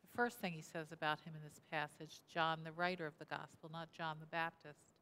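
A woman's voice: sits low, flat delivery, a little fast, sharp tone, clear, resonant tone. A woman in her sixties, a pastor giving an interactive sermon at a lectern, asking the congregation questions and repeating their answers. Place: church sanctuary, indoors, at a lectern. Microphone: lectern mic, camera at mid distance. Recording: reverberant church hall.

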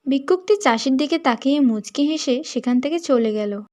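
A woman's voice: high voice, lively intonation, moderate pace, a little mellow. A woman narrating a fairy tale as a scripted story.

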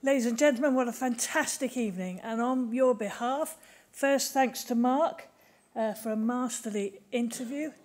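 A middle-aged woman giving a deliberate, jokey closing speech to an audience from a lectern. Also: on the high side, a little mellow, slight background noise. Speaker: university official giving vote of thanks. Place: lecture theatre, at lectern.

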